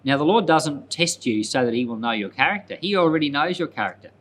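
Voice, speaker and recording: A man's voice: a little high, expressive, fast, fairly bright tone. A man speaking as a pastor in conversational Bible commentary, explaining things and often repeating and rephrasing points for emphasis. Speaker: pastor and Bible podcast host.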